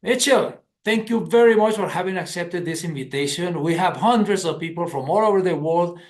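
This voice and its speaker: A man's voice: sits high, fairly quick, reverberant. A man from Colombia, enthusiastically introducing a guest as host of a webinar.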